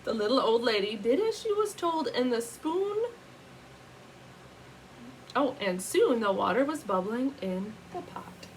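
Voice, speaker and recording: A woman reading a picture book aloud in an animated, teacherly way, pausing to put questions to young listeners. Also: a little high, a little hiss or hum, poor audio.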